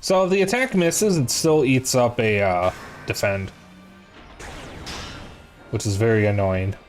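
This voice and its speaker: A man's voice: mid-range voice, unhurried, soft background music. A man with a somewhat nasal voice, casually commentating a game stream and bantering jokingly with chat in playful mock denials.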